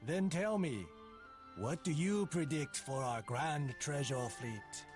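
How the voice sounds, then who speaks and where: A man playing a dutiful, serious ship's captain in a video game cutscene, speaking in a measured, concerned way. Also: fairly high pitch, music in the background. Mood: restrained concern.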